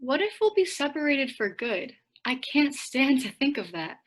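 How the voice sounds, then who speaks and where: Very high-voiced tender girl woman acting. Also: sing-song, moderate pace. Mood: fearful, upset. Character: fearful, tender young sister. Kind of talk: modern radio play acting.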